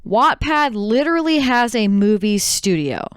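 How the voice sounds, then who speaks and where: A woman's voice: fairly high pitch, lively intonation, a little slow, clear, resonant tone, no echo, studio quality. A woman podcast host talking casually and conversationally, explaining things to her co-hosts and listeners.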